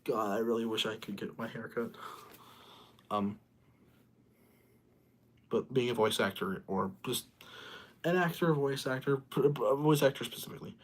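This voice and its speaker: A seventeen-year-old young man talks to his livestream chat in a flat, monotonous voice. He rambles with many fillers and says the same thing again and again. His pitch sits in the middle of the usual range for a man. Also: moderate pace, pauses often.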